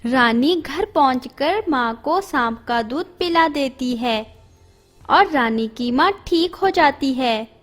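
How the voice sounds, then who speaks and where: Slow, high-voiced woman storyteller. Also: faint music behind. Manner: steady, storybook telling. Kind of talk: scripted narration of an animated moral story.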